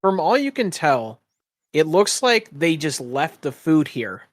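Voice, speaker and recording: A man acting as game master, improvising descriptions for a tabletop fantasy game in a halting, piecemeal way. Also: fairly high pitch, sing-song, dry recording.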